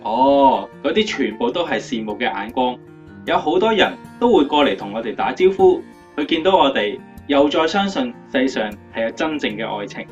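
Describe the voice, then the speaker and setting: An actor playing a cheerful, romantic young motivational speaker in a radio drama interview, playful and emphatic. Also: male voice, mid-range voice, sing-song, normal speed, distant mic, dull audio, lightly acted. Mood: proud, upbeat. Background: guitar music.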